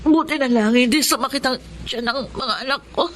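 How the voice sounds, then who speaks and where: A woman's voice: high voice, a little fast, a bit bright, slight background noise. A woman playing a poor, loving, long-suffering mother in a radio drama, tender and plaintive. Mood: pained, pleading.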